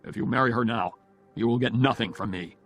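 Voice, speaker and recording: A man's voice: medium pitch, a little fast, fairly bright tone, slightly rough, faint music behind. A man voicing a stern, controlling older father in an audiobook, speaking in a formal, admonishing way. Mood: stern, commanding. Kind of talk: audiobook character dialogue.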